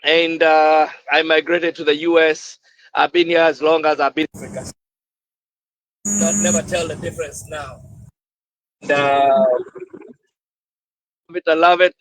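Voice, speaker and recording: A man's voice: on the high side, a bit bright, dry recording. A man, hosting a live online session with an exuberant, repetitive, preacher-like cadence. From Kenya. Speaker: host. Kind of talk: enthusiastic livestream hosting. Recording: dull lo-fi livestream audio.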